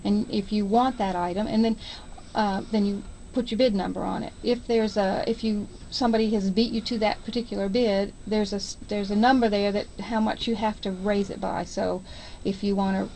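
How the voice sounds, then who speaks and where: An American woman speaking as a radio interview guest, explaining things informally and a little haltingly as she promotes an event. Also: mid-range voice, steady tone, mellow, a little hiss or hum, poor audio. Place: radio station.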